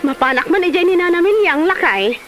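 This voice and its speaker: A woman's voice: very high voice, lively intonation, fairly quick, fairly bright tone, faint music behind. A woman with an Ilocano Filipino accent acting a chatty, envious village wife in a comedy drama. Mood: sulky, complaining.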